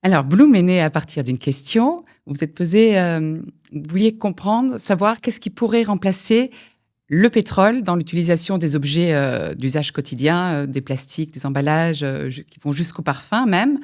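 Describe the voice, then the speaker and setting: A woman hosts a podcast interview, introducing her guests and putting questions to them in a courteous, formal manner. Her voice sits a little low. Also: expressive, moderate pace, a little mellow. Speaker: podcast host.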